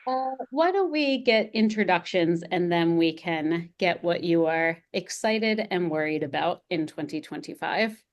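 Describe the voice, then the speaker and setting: A woman moderating a panel discussion over a video call in a measured, organized way. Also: on the low side, animated.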